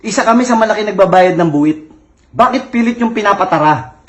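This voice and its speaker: High-voiced male comic ranting with a thick lisp, aggrieved and insistent. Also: expressive, brisk. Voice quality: heavy lisp, s turned to t. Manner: angry, complaining, repetitive. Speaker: self-styled actor on social media. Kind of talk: comic rant.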